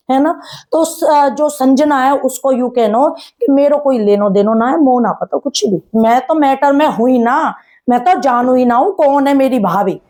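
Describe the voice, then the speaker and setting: A woman with a distinctly high voice and a rural North Indian Haryanvi-Braj accent. She talks like a gossip vlogger, casual and animated, teasing and sarcastic. She often throws out rhetorical questions as if talking straight to the people she is discussing. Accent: rural North Indian Haryanvi-Braj Hindi. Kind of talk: casual chatty commentary on a family controversy.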